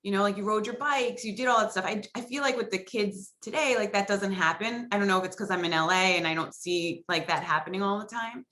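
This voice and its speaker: A woman's voice: medium pitch, brisk, few pauses, pushed and bright. A woman in her forties from Long Island with an American accent, chatting casually and ramblingly as an interview guest. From Long Island, New York, USA. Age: 40s. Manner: chatty, rambling, peppered with "like" and "you know". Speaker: podcast guest. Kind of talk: casual chat.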